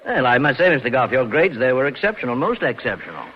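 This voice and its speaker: A pompous, fussy banker played by a man hems, haws and repeats himself with a very animated middle-pitched voice. It is 1940s radio acting on a dull, noisy old recording. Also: fast, lightly acted. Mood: fussy, approving. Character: fussy, stuffy, obliging male bank vice president.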